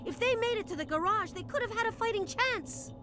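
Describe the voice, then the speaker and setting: Shrill, distraught farm colonist. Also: female voice, expressive, a little fast, music in the background. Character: distraught, stubbornly hopeful female farm colonist. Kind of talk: video game dialogue acting. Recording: noisy game ambience behind voice.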